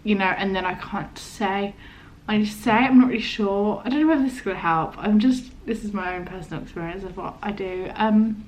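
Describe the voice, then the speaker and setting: A young woman with a stammer, chatting casually to camera in a halting, hesitant way, often trailing off. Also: mid-range voice, low-quality recording. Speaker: vlogger.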